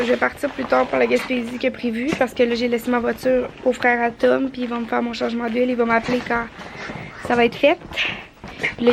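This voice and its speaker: A woman's voice: fairly high pitch, creaky, noisy background. A woman with a Québécois French accent, chatting casually in a rambling vlog, sounding congested with a sore throat. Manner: chatty, rambling with many fillers. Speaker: vlogger. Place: car and outdoors while traveling.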